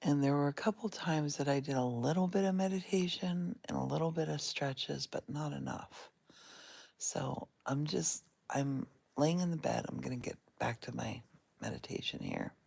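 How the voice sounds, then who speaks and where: Whispery low-voiced woman in bed. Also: vocal fry, husky. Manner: soft and whispery, unhurried. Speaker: vlogger. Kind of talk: casual guided meditation vlog. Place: in bed at home. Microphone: phone held in one hand.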